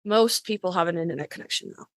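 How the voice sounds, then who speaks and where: A woman's voice: lowish voice, quick pace, no echo. A woman chatting casually as a podcast host, emphatic and swearing freely. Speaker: author.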